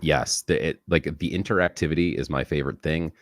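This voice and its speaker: Very deep, stuffy-nosed male guest. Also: brisk, no room sound. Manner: easygoing, laughs often. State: stuffy from a head cold. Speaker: guest streamer. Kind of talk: casual chat while playing.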